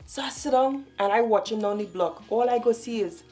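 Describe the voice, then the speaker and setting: A woman in her twenties from Trinidad and Tobago with a Trinidadian accent, talking quickly and animatedly as she tells a story on her vlog. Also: on the high side, loud music behind the voice. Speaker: vlogger.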